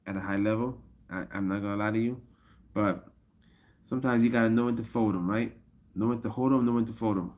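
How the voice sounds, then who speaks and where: A man's voice: fairly low pitch, darkish tone. A man of fifty-one talking casually to his livestream chat as an MMA coach, rambling and repeating himself and laughing often. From United States. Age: 50s. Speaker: MMA fighter and coach streaming live.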